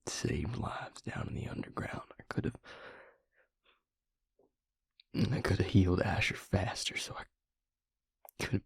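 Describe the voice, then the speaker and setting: A man playing a weary, guilt-ridden vampire in an intimate audio drama, confiding in a husky, halting near-whisper. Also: sits low, dry recording, exaggerated voice. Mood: anguished, self-blaming. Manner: slow, halting, brooding confession. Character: weary, guilt-ridden male vampire and former healer, confiding in his mate. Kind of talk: intimate audio roleplay drama, hushed monologue.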